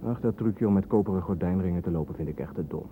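A muffled, noisy old radio play recording of an actor as a cynical man on the run. His low voice is sardonic and wordy, with a resentful edge. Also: fast talker, lightly acted. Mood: defensive. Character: cynical army deserter on the run, resentful son.

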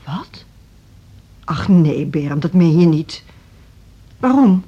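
Concerned music school head, muffled recording. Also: female voice, deep voice, animated, slow, breathy edge, heavily acted. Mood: shocked, dismayed. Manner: gentle, concerned, courteous. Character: Gerda, sympathetic adult woman running a music school. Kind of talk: radio drama acting. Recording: dull, lo-fi radio play recording.

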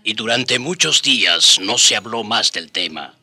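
A man's voice: on the low side, expressive, unhurried, pushed and bright. A man narrating a scripted radio drama as storyteller. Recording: music under the voice. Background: music.

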